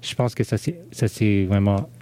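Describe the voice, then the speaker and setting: Hesitant low-voiced man, mellow tone. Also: normal speed, clear, resonant tone. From Canada. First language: English. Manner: hesitant, many fillers and restarts. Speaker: DJ guest. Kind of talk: interview conversation.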